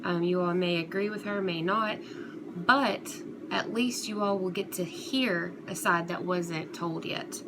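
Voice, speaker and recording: A woman talking casually and unscripted to her viewers as an online commentary host, in a halting, rambling, conversational way. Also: lowish voice. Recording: clear background noise throughout.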